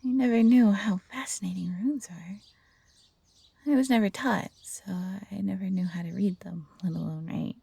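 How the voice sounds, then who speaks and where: Woman acting a gentle, breathy young-hearted fox-hybrid girl. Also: mid-range voice, fairly even intonation, normal speed, dry recording. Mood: wistful, reflective. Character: gentle, eager fox-hybrid former servant woman learning magic. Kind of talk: intimate roleplay audio drama.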